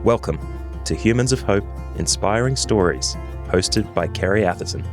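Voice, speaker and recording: Low-voiced male announcer over guitar music. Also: moderate pace. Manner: smooth, even announcer delivery. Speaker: show announcer. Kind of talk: scripted podcast intro. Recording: intro with guitar music underneath.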